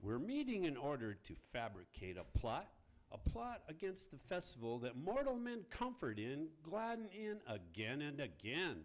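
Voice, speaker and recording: A man's voice: a little high, huge pitch swings, normal speed. A man playing a florid, theatrical messenger of hell who declaims in rhyme, in an amateur radio play. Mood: grandly ominous. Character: male herald of hell, florid messenger summoning damned souls.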